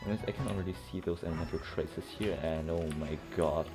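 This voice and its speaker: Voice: low-pitched, fairly even intonation, fast. A game streamer giving casual commentary while playing, muttering asides and thinking aloud. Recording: game music behind. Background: video game soundtrack and dialogue.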